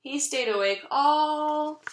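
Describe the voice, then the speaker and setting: A woman reading a children's picture book aloud in an expressive storytelling style. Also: sits high, slow. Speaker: storybook reader. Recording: echoey, roomy sound.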